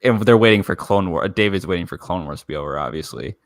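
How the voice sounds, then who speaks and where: A man's voice: deep voice, animated, quick pace, dry recording, clean studio sound. A man co-hosting a podcast in casual discussion, speaking informally and giving his opinions freely. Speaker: podcast co-host.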